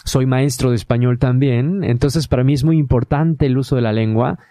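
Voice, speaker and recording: Mexican guy hosting a podcast, mellow voice, lots of eh's, dry close mic. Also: mid-range voice, dry recording. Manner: hesitant, many filler "eh" pauses. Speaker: podcast host.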